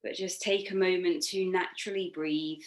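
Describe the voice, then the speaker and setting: A woman yoga instructor leading a guided relaxation meditation in a soothing, gently encouraging, unforced manner. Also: fairly low pitch, fairly even intonation, normal speed.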